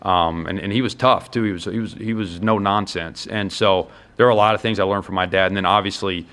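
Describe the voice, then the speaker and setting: Low-voiced American football coach, earnest. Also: male voice, fairly even intonation, fairly quick, a bit bright. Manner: earnest, emphatic, explains in enumerated points. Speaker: college football head coach being interviewed. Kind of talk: conversational sports interview.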